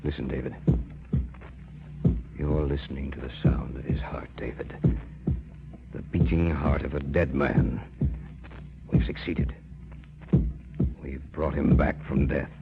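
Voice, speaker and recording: An actor playing an obsessed, commanding middle-aged scientist doctor, speaking formally and with authority in old-time radio drama style. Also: male voice, very deep voice, steady tone, slow, creaky, long pauses, music in the background, heavily acted. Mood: awed, hushed. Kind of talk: 1940s-50s radio drama acting. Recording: noisy muffled old radio recording.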